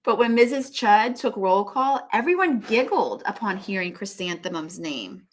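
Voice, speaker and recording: A woman's voice: mid-range voice, moderate pace, slight background noise. Woman teacher reading a storybook aloud. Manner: expressive, dramatic emphasis, questioning asides to young listeners. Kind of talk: storybook read-aloud with asides to children.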